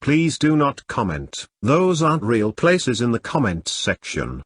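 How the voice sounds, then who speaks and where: Man reading stiffly, pitch swinging, dry sound. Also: wildly swooping pitch, a little slow, slightly rough, dry recording. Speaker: video narrator. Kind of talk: scripted disclaimer narration.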